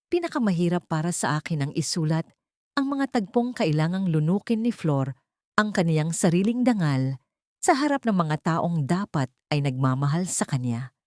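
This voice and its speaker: A woman narrating a scripted dramatic story in an earnest, sentimental, measured way. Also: lowish voice, a little mellow, no echo, clean studio sound.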